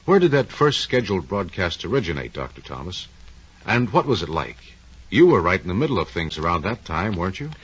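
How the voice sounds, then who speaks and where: A man's voice: low-pitched, big pitch swings, a little fast, husky, exaggerated voice. A man playing a curious, attentive interviewer, politely prompting his guest in a scripted 1940s radio programme. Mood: curious, impressed. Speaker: host. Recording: dull, lo-fi 1940s radio recording.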